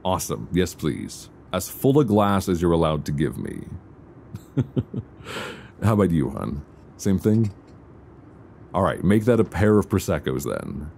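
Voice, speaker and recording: Casting: male actor as tender, teasing boyfriend for ASMR audio roleplay; extremely low, gravelly voice with supple intonation, close binaural mic. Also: big pitch swings, full character voice. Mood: pleased, relaxed. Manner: soft teasing, unhurried, conversational with hesitant pauses. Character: affectionate, playful boyfriend on a luxury flight. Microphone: close binaural microphone. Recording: close binaural recording, faint background noise.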